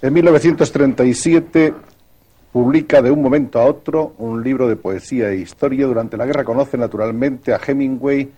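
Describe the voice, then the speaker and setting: Measured male interviewer, mellow voice. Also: mid-range voice, dull audio. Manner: measured, reads dates in full. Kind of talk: scripted biographical narration and questions.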